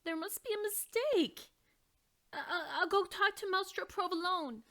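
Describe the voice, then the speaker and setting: A woman reading aloud and voicing an eager, loud young girl mouse in a storybook. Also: extremely high pitch, measured pace, voice pushed up, heavily acted. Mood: excited. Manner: excited, bellowing.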